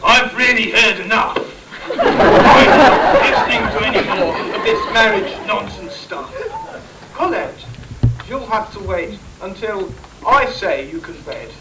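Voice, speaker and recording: A man's voice: sits high, very animated, a little slow, a loud crowd, full character voice. A man playing an indignant, blustering father in an amateur rhyming pantomime, declaiming his lines on stage. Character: indignant, blustering father forbidding his young daughter's marriage. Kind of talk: amateur Christmas pantomime acting in rhyme. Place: live staff pantomime in a hall. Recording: distant, echoey, noisy amateur stage recording with audience.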